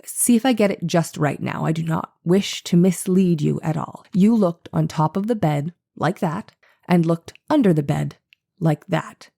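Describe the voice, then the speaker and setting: A woman plays a defense attorney in a dramatized trial reading. The voice sits fairly low and is very mellow, with measured, formal questions that keep pressing the witness. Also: gravelly. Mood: probing. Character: probing, persistent male defense attorney cross-examining a witness. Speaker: actor reading a lawyer in a trial re-enactment.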